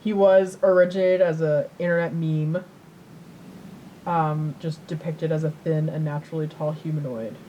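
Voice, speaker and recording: A woman's voice: sits low, steady tone, moderate pace, dark tone, lo-fi sound, slight background noise. A woman co-hosting a podcast in casual chat, rambling and repeating herself as she tells things. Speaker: podcast co-host.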